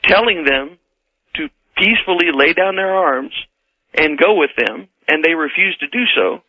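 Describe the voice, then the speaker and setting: An American man debating as a caller on a radio show, formal and emphatic but halting, heard over the telephone. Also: a little high, expressive, lo-fi sound. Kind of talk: live phone-in debate.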